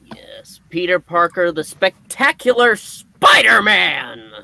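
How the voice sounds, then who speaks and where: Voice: mid-range voice, big pitch swings, slow pace, creaky, sharp tone, no room sound. A co-host presenting a live comic book reading in a showy, theatrical announcer style with sudden emphatic bursts. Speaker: co-host and reader of a comic book puppet show.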